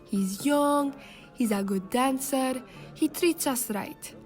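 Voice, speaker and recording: A woman's voice: sits high, slow, soft background music. An actress playing a friendly young saloon woman who talks in a chatty, fond way.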